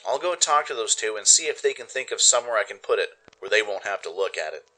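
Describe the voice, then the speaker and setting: A man acts out a loving pony stallion father, a mechanic in a radio play. The voice is deep and gentle, reassuring and affectionate, with music underneath. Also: expressive, quick pace, rough recording. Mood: tender.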